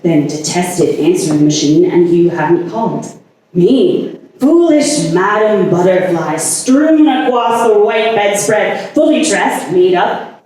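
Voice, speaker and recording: An actress playing a lovesick, obsessive woman, delivering a poetic and halting theatrical monologue in a staged reading. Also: on the low side, mellow, natural voice. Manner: dramatic, halting, incantatory delivery with repetitions. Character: lovesick woman consumed by dangerous obsession, anguished, insistent. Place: staged reading in a reverberant indoor space. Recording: very echoey room, far from mic.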